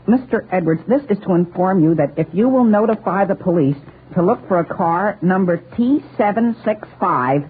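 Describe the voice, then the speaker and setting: A man playing an anxious, well-meaning teenage boy in 1940s radio sitcom style, hesitant and flustered. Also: high voice. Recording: tinny, noisy vintage radio recording.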